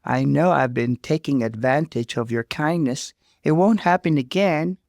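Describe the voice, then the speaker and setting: A man voicing an overwhelmed mother, a stiff and contrite sister, in an earnest, measured way, for a story. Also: on the high side, gravelly, a little mellow, no room sound, studio quality, voice pushed up. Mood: earnest, apologetic.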